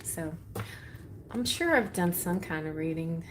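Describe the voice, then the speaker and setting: A woman speaks at an unhurried pace in a put-on Texas accent over noticeable background noise. She is hosting a live tarot reading, chatting with viewers in a playful, rambling way and thinking out loud as she goes. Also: on the low side, expressive. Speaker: tarot reader hosting a livestream.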